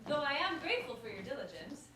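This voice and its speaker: A gracious, witty commoner ruler, played by a woman in a verse stage comedy. The voice is high and swoops expressively. It comes from across an echoey room. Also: big pitch swings, fast, pushed and bright, full character voice. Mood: gracious, warm. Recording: echoey room from far off, noisy.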